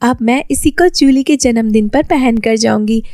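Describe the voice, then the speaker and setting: A woman voicing a kind, humble, poor young seamstress girl in a children's story, with an earnest, expressive manner. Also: on the high side, moderate pace, no echo, studio quality, natural voice. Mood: delighted.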